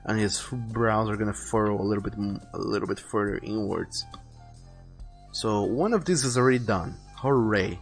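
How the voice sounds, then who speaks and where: A man streaming casually, rambling and rephrasing as he thinks his plans aloud. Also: on the low side. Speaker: live streamer illustrating a visual novel. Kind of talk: casual livestream chat, thinking aloud while planning artwork. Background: music.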